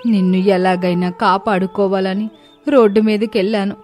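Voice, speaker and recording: A woman's voice: mid-range voice, little pitch movement, normal speed, a little mellow, soft background music, natural voice. A woman voicing a distressed, loving mother cow in a storybook tale, lamenting and pleading. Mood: worried, resolute.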